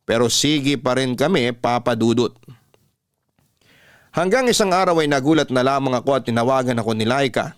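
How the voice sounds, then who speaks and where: A man with a Filipino accent delivering heartfelt, confessional scripted narration in the style of classic radio drama. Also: mid-range voice. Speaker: radio-style storytelling host.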